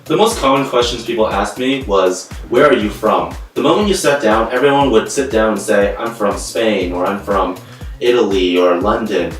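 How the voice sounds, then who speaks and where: A man's voice: on the low side, moderate pace, faint music behind. A Canadian man answering interview questions in a plain, earnest way with some fillers. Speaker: co-host and guest player. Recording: echoey room from far off.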